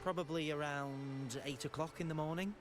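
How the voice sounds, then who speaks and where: An actor voicing an inquisitive, polite, dreamy code enthusiast in a video game, musing and hesitant. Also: male voice, a little high, steady tone, normal speed, natural voice. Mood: thoughtful, polite. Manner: musing, hesitant, murmuring hums between thoughts. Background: game music.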